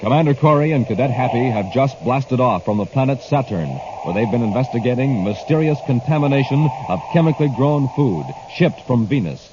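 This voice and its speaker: A man's voice: mid-range voice, animated, fairly quick, hardly any pauses. A man announcing and narrating in an emphatic, dramatic fifties radio style. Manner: emphatic, theatrical. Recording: noisy muffled old radio recording.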